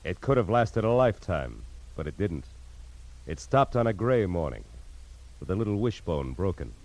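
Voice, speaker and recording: An actor playing a world-weary, hard-boiled private detective with an American accent, speaking deliberately in 1940s radio noir style. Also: male voice, deep voice, very animated, a little mellow, heavily acted, rough recording. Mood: ominous, wistful.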